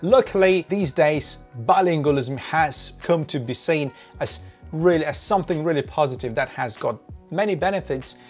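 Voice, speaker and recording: A man, explaining to camera in a home studio with animated emphasis. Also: fairly high pitch, normal speed, soft background music. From Cyprus. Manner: animated, gesturing, rising emphasis on key words. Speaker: educational YouTuber. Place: small decorated home studio, indoors.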